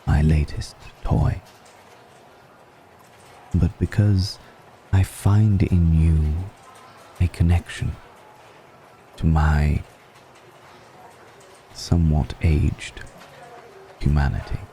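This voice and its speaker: A man playing an ancient, suave vampire noble in an intimate ASMR roleplay, speaking formally and deliberately with long pauses in a gravelly voice, seductive and affectionately amused. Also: fairly even intonation, mellow, slight background noise, exaggerated voice, rough recording. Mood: tender, admiring. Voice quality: very deep, gravelly.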